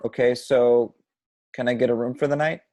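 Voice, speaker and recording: A man's voice: mid-range voice, fairly even intonation, normal speed, dark tone, natural voice. A man at a home table read playing a troubled young city man, hesitant and naturalistic. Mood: agitated.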